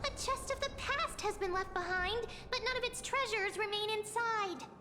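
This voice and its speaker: A tiny fairy companion chirps in an extremely high, childlike voice with open curiosity. It rings with long hall reverb over game music. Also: female voice, normal speed. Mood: curious, cheerful.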